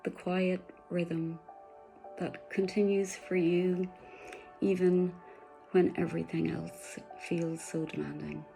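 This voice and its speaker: Woman meditation guide, soft-spoken with breathy whispers, dark flat delivery. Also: lowish voice, slow, faint music behind, poor audio.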